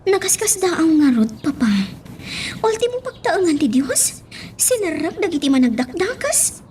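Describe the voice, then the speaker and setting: Cherished young daughter, high-pitched, expressive, Ilocano accent, radio drama. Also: female voice, normal speed, a little hiss or hum. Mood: pleading. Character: young woman Monica, cherished daughter of a crime boss.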